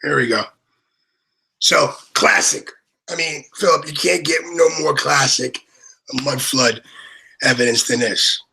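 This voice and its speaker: A man from Brooklyn with a New York accent, chatting casually over a video call, swearing and excitedly repeating "you know what I mean". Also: on the high side, a little slow, vocal fry, long pauses, breathy edge, rough recording.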